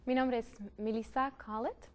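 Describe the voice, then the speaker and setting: A woman's voice: a little high, steady tone, unhurried, a little mellow. Canadian woman with Spanish. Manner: non-native Spanish with odd grammar, thoughtful. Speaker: Bible worker, panelist. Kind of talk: panel discussion.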